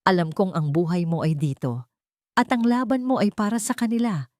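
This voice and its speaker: A woman voicing an earnest male company chief in an audio drama, solemn, deliberate and heartfelt. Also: medium pitch, a little mellow, no room sound, clean studio sound, lightly acted. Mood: serious, tender.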